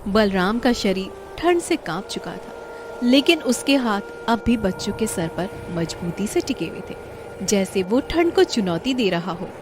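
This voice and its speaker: A woman's voice: medium pitch, big pitch swings, a little slow, noisy background. A woman giving scripted, dramatic narration of a moral story.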